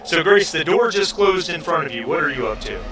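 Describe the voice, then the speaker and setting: A man speaking haltingly and deliberately as game master, narrating a tabletop role-playing game. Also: on the high side, big pitch swings, bright, forceful tone, faint music behind.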